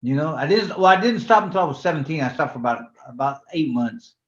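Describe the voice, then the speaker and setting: Brief: older man, fairly high voice, casual rambling storyteller, roomy echo, dull lo-fi sound. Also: fast, fairly bright tone. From California. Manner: laid-back, rambling, heavy "you know" fillers. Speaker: guest, former professional boxer.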